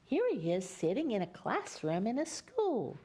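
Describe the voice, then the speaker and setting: A woman reading a picture book aloud as a Mother Goose storyteller, pausing for chatty explanations to young listeners. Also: medium pitch, wildly swooping pitch, warm tone, husky. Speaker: children's storyteller and host.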